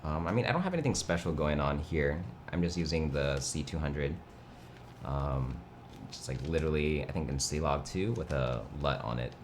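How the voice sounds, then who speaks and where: Very deep, fry-laden, nasal man. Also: animated, moderate pace, background noise. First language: Mandarin. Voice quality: vocal fry. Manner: laid-back, rambling, reads chat aloud. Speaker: livestream host. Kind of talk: casual chat.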